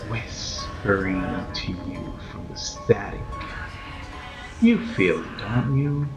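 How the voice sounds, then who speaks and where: A man hosting a horror story series, narrating a script in a theatrical, ominous, deliberate manner as a sinister keeper of shadows. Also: medium pitch, rough recording. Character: sinister keeper of shadows addressing his followers.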